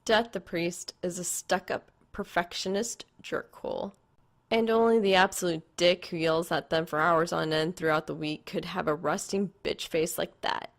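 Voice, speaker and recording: A woman's voice: fairly low pitch, fairly even intonation, normal speed. A woman reading a piece of fan fiction aloud as a scripted narration.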